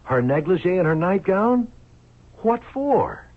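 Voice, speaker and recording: An actor playing a reluctant, puzzled police detective in a radio drama, loyal but doubtful, with a husky, halting delivery. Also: male voice, high voice, very animated, full character voice. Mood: baffled. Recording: thin, phone-like old radio recording.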